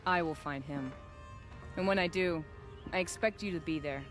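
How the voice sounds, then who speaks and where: A woman's voice: low voice, normal speed, music in the background, lightly acted. A woman playing a proud, defiant young noblewoman hunter in a video game, firm and assertive, with bitterness and determination. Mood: commanding. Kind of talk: video game dramatic acting.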